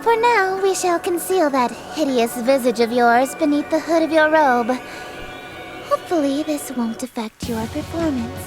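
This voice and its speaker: Menacing otherworldly monarch, game villain, female actor. High-pitched, unhurried, formal and haughty, faint music underneath. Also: close to an everyday voice. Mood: imperious, threatening. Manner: imperious, formal, theatrical, deliberate. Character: haughty, menacing eldritch queen who calls herself king. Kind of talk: visual novel game voice acting.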